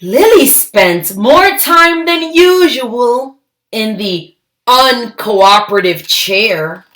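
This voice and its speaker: Female storybook reader, raised pitch, slow deliberate pace, expressive and emphatic narration for kids. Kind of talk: expressive children's read-aloud narration.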